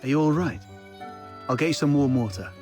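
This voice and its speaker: A man's voice: fairly high pitch, fairly quick, darkish tone, faint music behind, natural voice. A man voicing a protective older brother in a video game, casual and caring with a playfully boastful streak.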